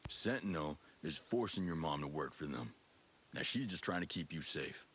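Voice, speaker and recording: A very low, gruff male video game soldier, steady and quietly threatening. He speaks like a controlled special forces operative, with faint noise behind him. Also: normal speed. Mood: calm, businesslike. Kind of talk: video game cutscene acting.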